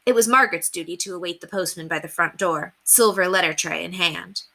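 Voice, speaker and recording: A woman reading a children's story aloud as a teacher would, with deliberate emphasis on key words. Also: fairly low pitch, gravelly, a bit bright. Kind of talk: expressive storybook read-aloud narration.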